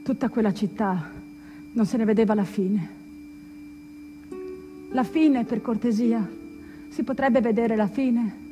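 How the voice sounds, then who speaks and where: A woman acting a wistful, intense ship's pianist's tale as a theatrical monologue, deliberate and emphatic, with dramatic pauses. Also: on the high side, warm tone, natural voice. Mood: wistful, reflective. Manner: deliberate, emphatic, repeating phrases. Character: male ocean-liner pianist and his storytelling friend, wistful, intense. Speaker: stage actress. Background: instrumental music.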